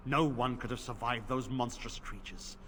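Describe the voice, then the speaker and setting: A man's voice: medium pitch, moderate pace, fairly bright tone, close to an everyday voice. Actor as stern knight commander, middle-aged man, video game, grave commands, faint hiss. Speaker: game character.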